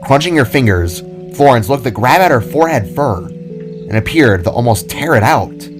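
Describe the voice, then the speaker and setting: A man's voice: medium pitch, very animated, moderate pace. A man reading scripted fiction as a storyteller. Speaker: storyteller, narrator. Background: music.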